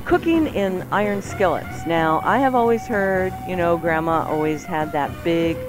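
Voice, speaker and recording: A woman's voice: lowish voice, moderate pace, low-quality recording. Playful woman co-hosting radio, music behind. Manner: playful, giggly. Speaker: radio show co-host. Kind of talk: casual radio banter. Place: radio studio.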